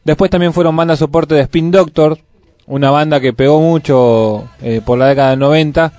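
A man's voice: a little high, fairly even intonation, normal speed, a little mellow, no room sound. A man with an Argentine accent hosting a radio music show, commenting in a conversational way. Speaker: radio host.

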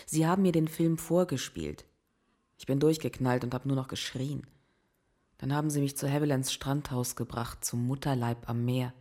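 A wounded but resolute woman in a crime radio play. She speaks with grave, measured delivery, like a survivor carrying a heavy past. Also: deep voice, warm tone.

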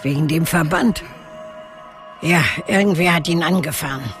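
An older actress playing a knowing, eccentric cave dweller, matter-of-fact and reminiscing, in a radio drama. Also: female voice, sits low, moderate pace, soft background music, natural voice. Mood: matter-of-fact, fond. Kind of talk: naturalistic radio drama acting.

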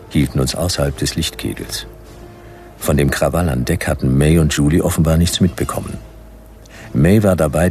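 An actor playing a hard-boiled detective who narrates his own story in clipped, deadpan noir radio drama style, with a gravelly voice. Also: a bass voice, exaggerated voice. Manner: deadpan, dry.

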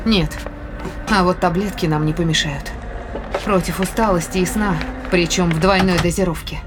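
An actress playing a brisk, curious spaceship scientist with a dry, commanding manner in a science fiction audio drama. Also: female voice, fairly low pitch, steady tone, noisy background, natural voice. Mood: brisk, tired.

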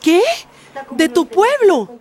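A woman's voice: very high voice, very animated, takes it slowly, a little mellow, full character voice. A woman acting an easygoing, gushing, smitten friend in a radio drama.

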